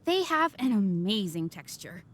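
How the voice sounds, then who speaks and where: A woman's voice: medium pitch, big pitch swings, measured pace, a little hiss or hum. A woman playing a polite young restaurant waitress with a courteous, enthusiastic way of presenting, in an anime-style video game. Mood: proud.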